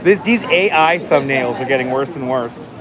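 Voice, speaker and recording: A man hosting a casual live stream, chatting calmly and enunciating clearly while he waits in line outdoors. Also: mid-range voice, moderate pace, crowd noise. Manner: calm, enunciated, chatty with viewers. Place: outdoors on a city street waiting in line. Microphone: phone held for a live stream. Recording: noisy outdoor phone stream. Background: city traffic and street noise.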